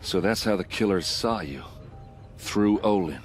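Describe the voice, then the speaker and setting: Deep-voiced, blunt warrior man. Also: expressive, unhurried, soft background music. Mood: surprised, indignant. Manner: wry, blunt, earnest. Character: gruff, wry warrior man, grieving and loyal. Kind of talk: video game voice acting.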